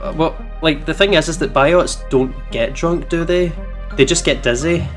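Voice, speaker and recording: A man's voice: fairly high pitch, moderate pace, a bit bright. A British man hosting a visual novel let's play, switching between casual commentary and read-aloud narration in a playful manner. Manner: giggly, playful. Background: game music.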